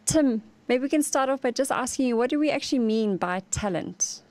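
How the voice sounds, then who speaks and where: A woman's voice: sits high, animated, brisk, a little mellow, no echo. A woman hosting a talk show, reading a polished introduction and putting questions to her guest. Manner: clear, polished presenter delivery. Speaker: podcast host.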